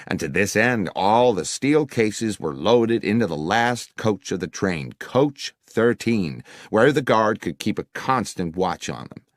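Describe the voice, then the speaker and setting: A man's voice: fairly low pitch, dry recording, studio quality. A man voicing a formal, wordy middle-aged businessman client who is fond of puns, in an audiobook. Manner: formal, long-winded, jovially self-amused. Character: anxious middle-aged businessman client, verbose, pun-loving. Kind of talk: audiobook character dialogue.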